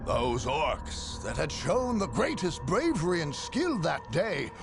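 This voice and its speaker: A rough orc storyteller narrating for a video game. He speaks colloquially and with relish, slow and gravelly, over faint music. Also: fairly high pitch, wildly swooping pitch, exaggerated voice.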